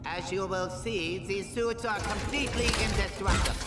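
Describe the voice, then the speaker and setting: An actor voicing a tiny, imperious female fashion designer, bossy, dramatic and dismissive, in an animated game. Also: medium pitch, normal speed, over loud music, lightly acted. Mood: brisk, assured. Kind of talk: animated film-style game dialogue.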